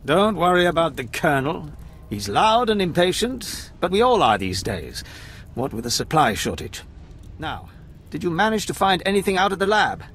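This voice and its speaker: A man's voice: a little high, very animated, normal speed, fairly bright tone, a little hiss or hum. A man playing a harried, affable army major in a video game, musing and eager to help in a conversational way. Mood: weary, placating. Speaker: military officer.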